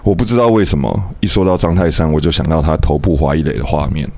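A man's voice: a bass voice, steady tone, quick pace, dark tone, no echo. A man reading his own written piece aloud on a radio show.

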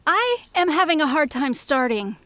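A woman playing an eccentric, craving diner regular, dramatic and intense in a drawn-out delivery. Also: high-pitched, sing-song, bright, forceful tone, dry recording, heavily acted. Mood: desperate.

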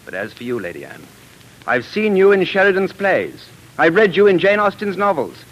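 A man's voice: high-pitched, very animated, moderate pace, lo-fi sound. Impassioned lovelorn man, old radio drama. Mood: angry, scornful. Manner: impassioned, theatrical. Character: anguished, passionate time-traveling man in love. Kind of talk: 1940s radio drama acting. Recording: vintage 1940s radio drama with music.

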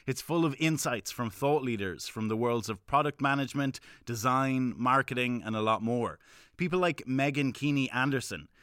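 A man's voice: mid-range voice, moderate pace, fairly bright tone, smooth, no room sound, studio quality. A man narrating a scripted promotional message as a podcast host.

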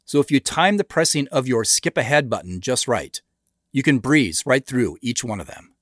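Animated man giving dry-recorded commentary. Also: medium pitch, moderate pace, dry recording. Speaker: show creator and director. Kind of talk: scripted director's commentary.

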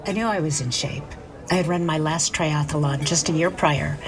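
A woman's voice: sits low, normal speed, noisy background, natural voice. A woman playing a guarded, self-deprecating divorced businesswoman in middle age, speaking dryly and confidingly in an audio drama. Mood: wry, confiding. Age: middle-aged. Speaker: lead actress and narrator. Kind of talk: confessional first-person narration and dialogue in audio drama.

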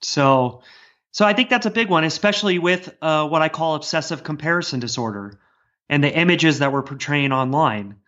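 A man's voice: fairly high pitch, lively intonation, normal speed, sharp tone. A man speaking earnestly and discursively as a guest author in a casual podcast conversation. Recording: dull, lo-fi sound.

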